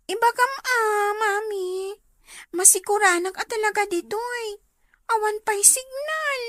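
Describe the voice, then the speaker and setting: A woman's voice: very high-pitched, unhurried, bright, forceful tone, dry recording, clean studio sound, exaggerated voice. A woman with an Ilocano accent voicing a bored, whining child in a radio drama. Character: bored, whining child missing cellphone signal.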